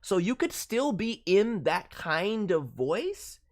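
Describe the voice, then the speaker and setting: A woman's voice: mid-range voice, very animated, slow pace. A woman working as a voice director, giving a casual spoken critique with advice in an emphatic manner. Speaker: voice director reviewing demo reels.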